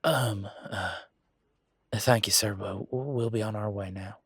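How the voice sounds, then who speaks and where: A man's voice: lowish voice, fairly even intonation, a little slow, fairly bright tone, husky, dry recording, studio quality, full character voice. A man playing a polite, flustered werewolf boyfriend in a romantic audio drama, talking casually with nervous stammers. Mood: awkward. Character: Gavin, flustered, polite, excitable young male werewolf boyfriend.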